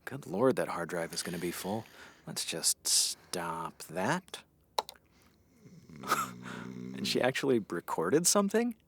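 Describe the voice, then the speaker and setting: A man's voice: sits low, big pitch swings, slow pace, vocal fry, pauses often, a bit bright, a little hiss or hum, exaggerated voice. A man playing a curious, easily amazed radio station colleague muttering to himself in a comedic audio drama. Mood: curious, amused. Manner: muttering to self, exclamatory.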